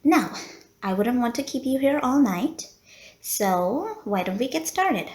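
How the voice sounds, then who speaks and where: A woman's voice: on the high side, sing-song, measured pace, darkish tone, reverberant. A woman playing a polite, teasing female vampire who runs a blood bank, in an audio roleplay spoken to the listener. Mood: playful, flirty. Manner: genteel, courteous, teasing. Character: polite, flirty female vampire running a blood bank, playful and long-suffering. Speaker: medical center receptionist.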